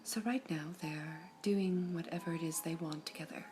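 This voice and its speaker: Serene formal gem lady as a woman voices her, ASMR whisper, low-pitched, faint music behind. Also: close to an everyday voice. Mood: calm whispering. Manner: soft whispering, measured and formal. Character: serene all-seeing female gem, calm and formal. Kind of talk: ASMR roleplay.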